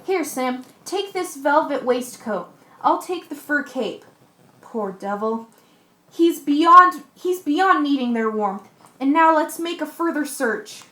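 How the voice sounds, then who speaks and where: In an echoey room, a woman acts out a plucky, practical ship's mate in a radio drama. The delivery is high, slow and theatrical, with a commanding manner. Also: animated, sharp tone, exaggerated voice. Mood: curious, determined. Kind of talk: amateur radio play acting.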